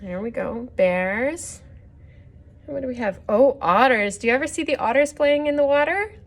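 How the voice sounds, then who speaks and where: A woman hosting a children's story time, reading a picture book aloud with gently instructive asides and questions to the listeners. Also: sits high, moderate pace.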